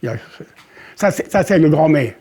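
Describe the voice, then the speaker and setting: A man's voice: fairly high pitch, expressive, a little fast, dark tone. A man interviewed for a documentary, speaking hesitantly and repeating words as he explains.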